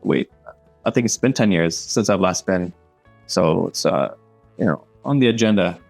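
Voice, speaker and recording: Young man co-hosting a laid-back podcast, deep-ish voice, rambling, hesitant delivery with many fillers. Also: animated, moderate pace, vocal fry, faint music behind. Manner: hesitant, full of fillers, laughs often. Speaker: podcast co-host. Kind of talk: casual podcast chat.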